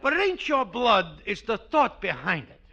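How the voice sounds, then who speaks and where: An actor playing an earnest, sappy and self-satisfied working-class American pal in a 1940s radio comedy. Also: male voice, high-pitched, very animated, moderate pace, crowd noise. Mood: falsely modest. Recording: dull vintage 1940s radio recording.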